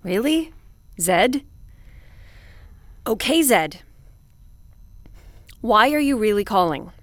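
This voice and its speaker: A woman playing a brisk, skeptical space commander who takes calls in an audio drama, with crisp, questioning, formal delivery. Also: on the high side, big pitch swings, no room sound. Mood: wary. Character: brisk, skeptical female space commander hosting call-in archive.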